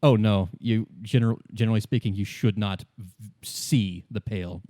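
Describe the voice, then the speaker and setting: A composed, world-weary businesswoman voiced by a man speaks in a lowish voice with measured, halting phrases. She is cryptic, in the style of a tabletop game character, recorded dry and close. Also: steady tone, mellow, no room sound, lightly acted. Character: cryptic, world-weary woman of a shipping company.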